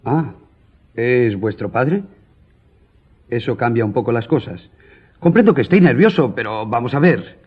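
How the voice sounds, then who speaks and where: An actor playing a proud, composed gentleman journalist in a radio drama, speaking formally and firmly. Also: mid-range voice, expressive, husky, phone-quality sound. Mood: measured, conciliatory. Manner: formal, measured, firm. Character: proud, composed male newspaper journalist, duel-ready gentleman.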